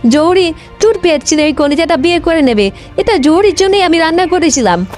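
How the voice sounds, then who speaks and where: A woman's voice: very high voice, animated, brisk, a little mellow, faint music behind, pitched above the performer's own voice. A woman voicing an anxious village mother in a cartoon folk tale, exclaiming and repeating her words. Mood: alarmed. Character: woodcutter's wife, anxious, easily tempted village mother.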